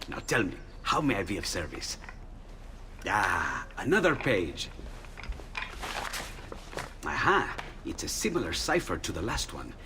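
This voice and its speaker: A curious inventor chats eagerly in a lowish, lively voice. Faint ambience hums behind him. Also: male voice, unhurried, pauses often, noisy background, heavily acted. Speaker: inventor friend.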